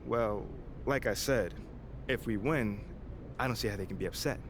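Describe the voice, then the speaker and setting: A man's voice: lowish voice, normal speed, background noise, close to an everyday voice. A man playing a laid-back rookie baller. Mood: composed. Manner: casual, laid-back, agreeable. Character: young male rookie pro basketball player, easygoing. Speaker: rookie basketball player. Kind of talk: video game cutscene acting.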